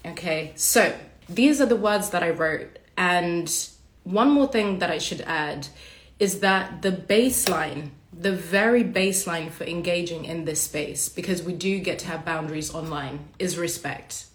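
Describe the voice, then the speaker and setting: A woman with a lowish, raspy voice, hoarse from a cold, riffing passionately and bluntly in a casual livestream, swearing freely. She sounds like she is in an echoey room. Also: a little slow. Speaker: podcast host.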